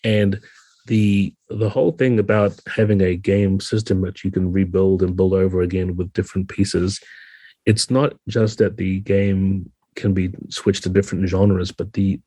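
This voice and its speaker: A man's voice: low-pitched, level tone, moderate pace, mellow, no echo. A man speaking as a guest game designer in a podcast interview, explaining things in a conversational way.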